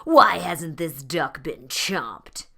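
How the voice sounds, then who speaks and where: A fierce storybook lion acted out by a woman growls out bullying demands. The delivery is forceful and drawn-out, with slow words and big jumps in pitch. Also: lively intonation, vocal fry, slightly rough, heavily acted. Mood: menacing.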